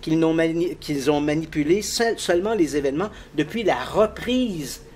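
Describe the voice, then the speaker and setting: A man with a fairly high voice walks through a story in lively detail, laughing easily along the way. A faint noise sits behind him. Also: normal speed. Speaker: guest expert on the paranormal. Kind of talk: casual explanatory storytelling.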